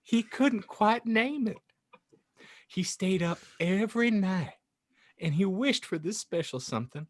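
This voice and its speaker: A man's voice: high-pitched, lively intonation, measured pace, no room sound. A man hosting a podcast, reading a story like an audiobook narrator in a dramatic, playful, mock-grand way. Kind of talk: theatrical audiobook reading with joking asides.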